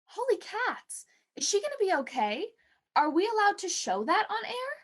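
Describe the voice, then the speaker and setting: An actress playing a naive, jittery co-host in theatrical 1940s radio comedy style. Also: female voice, extremely high pitch, very animated, normal speed, loud music behind the voice. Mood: alarmed, puzzled.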